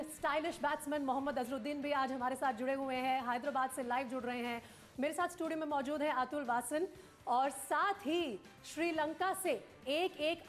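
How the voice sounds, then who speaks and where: A woman news anchor presenting a special cricket broadcast from a studio with polished, dramatic phrasing. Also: high-pitched. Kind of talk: scripted sports news presenting.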